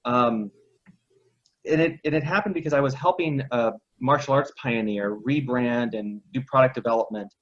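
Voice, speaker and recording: A reflective man from Texas tells his story as a podcast guest, often restarting his sentences. His voice sits at a middle pitch, and the recording sounds slightly dull and lo-fi. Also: moderate pace. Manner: reflective, many restarts and self-corrections. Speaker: guest entrepreneur and coach. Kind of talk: casual interview storytelling.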